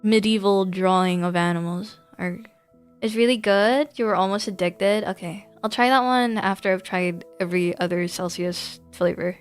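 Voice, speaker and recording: A woman's voice: medium pitch, normal speed, clean tone. A woman chatting casually on a gaming livestream in a giggly, rambling way. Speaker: live streamer. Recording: music behind the voice. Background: background music.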